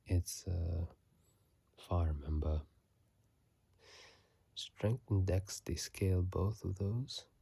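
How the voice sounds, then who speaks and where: A man giving casual, halting ASMR commentary in a hushed, breathy whisper, sleepy and close to a phone microphone. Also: low-pitched, dry recording. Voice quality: breathy, gravelly soft whisper. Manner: slow, halting, hushed delivery. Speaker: video host.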